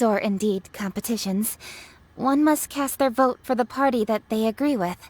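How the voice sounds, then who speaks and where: A woman voicing a dutiful, earnest young noblewoman official in a video game, with stiff, precise, formal diction. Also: a little high. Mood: earnest, hesitant.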